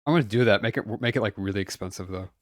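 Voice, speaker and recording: A man streaming a game, chatting casually with friends, laughing often and greeting viewers brightly. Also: fairly low pitch, very fast. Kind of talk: casual livestream chat.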